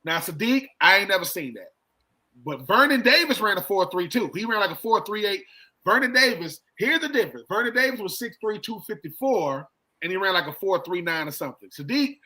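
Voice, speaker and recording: A middle-aged man with a high, bright voice talks sports casually. He speaks in colloquial phrases, circles back to repeat his points and slips into quoted dialogue as he tells a story. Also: sing-song, fairly quick. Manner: colloquial, repeats himself, acts out quoted exchanges. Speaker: sports show co-host and former football player.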